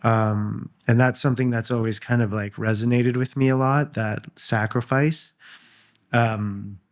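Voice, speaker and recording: A man's voice: mid-range voice, steady tone, unhurried. A young man from Canada talks as an interview guest in a loose, casual way. He stumbles, repeats words and leans on fillers as he thinks aloud. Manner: hesitant, many fillers and restarts.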